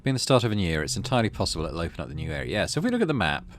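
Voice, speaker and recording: A man's voice: low-pitched, very animated, very fast, a little hiss or hum. A man hosting a gaming video, casually narrating and commenting as he thinks aloud. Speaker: gaming channel host.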